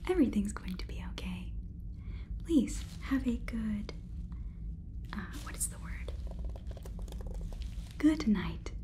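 A woman's voice: medium pitch, animated, takes it slowly, long pauses. A woman in her twenties voicing a polite, faintly condescending female AI robot overlord in a whispered ASMR roleplay, with stilted, soothing phrasing. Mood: explaining, reassuring. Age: 20s. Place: small room indoors.

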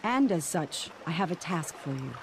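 A woman's voice: deep voice, sing-song, moderate pace, noisy background. A woman playing a regal, resolute queen in a video game, speaking in a stately, formal way. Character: regal, grateful queen, mother of a warrior princess. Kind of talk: video game cutscene acting. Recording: game music behind.

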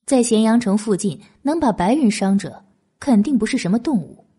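A woman's voice: fairly high pitch, lively intonation, moderate pace, warm tone, husky. A woman narrating a historical novel as an audiobook in a dramatic, scripted style.

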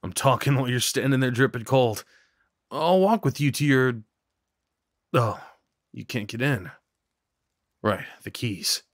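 A man acting a kind, slightly awkward neighbor in an audio roleplay, talking in a gently concerned, hesitant, conversational way. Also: medium pitch, dry recording, clean studio sound. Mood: friendly, apologetic. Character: kind, awkward, caring male neighbor comforting someone. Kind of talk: ASMR roleplay, soft one-sided dialogue acting.

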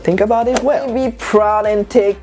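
A woman of about thirty whose first language is French, giving an animated motivational talk to camera in her bedroom and repeating lines for stress. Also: mid-range voice, moderate pace, soft background music. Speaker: lifestyle vlogger. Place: bedroom at home, indoors.

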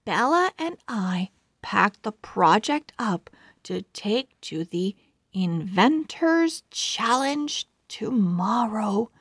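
A woman narrating a children's storybook aloud, expressive and carefully articulated. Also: a little high, gravelly, husky, no echo, clean studio sound. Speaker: children's book read-aloud host.

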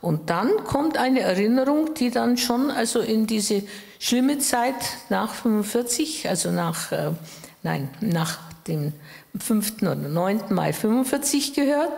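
Eine Frau um die achtzig aus Böhmen, die als Gast auf einer Bühne nachdenklich und mit Zögern aus ihrer Erinnerung erzählt, mit Handmikrofon. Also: female voice, medium pitch, creaky, a little mellow. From Bohemia, Czech Republic. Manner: thoughtful, with hesitations and self-corrections. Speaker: interview guest. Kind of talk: personal memories in conversation. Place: stage in a wood-panelled hall. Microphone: handheld mic. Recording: echoey hall.

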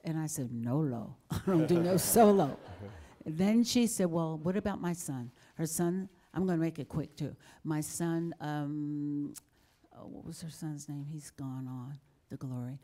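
A woman's voice: low-pitched, measured pace, mellow. A woman in her sixties telling stories on a panel with a folksy, chuckling manner, into a handheld mic in a large formal hall. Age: 60s. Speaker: panel guest singer. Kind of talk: storytelling on a panel.

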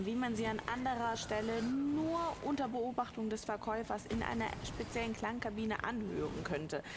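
A woman's voice: fairly high pitch, background noise. A woman hosting a TV shopping show, selling emphatically and persuasively straight to the viewers. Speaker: TV shopping host. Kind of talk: live teleshopping sales pitch. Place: TV shopping studio. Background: music.

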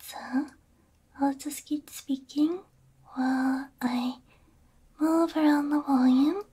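A woman whispering softly in an ASMR roleplay, gentle and reassuring, speaking close into a binaural microphone. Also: high-pitched, steady tone, slow pace. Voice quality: breathy, mostly whispered. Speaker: ASMR roleplay creator playing a robot repair technician.

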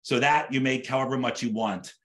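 A man's voice: mid-range voice, fairly quick, fairly bright tone. Middle-aged man teaching cooking. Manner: explanatory, repeats and rephrases as they go. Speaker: chef teaching an online cooking class. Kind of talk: conversational live cooking demonstration. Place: home kitchen, indoors.

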